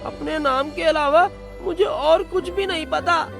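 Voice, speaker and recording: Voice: very high-pitched, steady tone, measured pace, gravelly, over music, exaggerated voice. A performer voicing a hungry, pleading orphan boy in a cartoon story, drawing out the words. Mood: forlorn. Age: child.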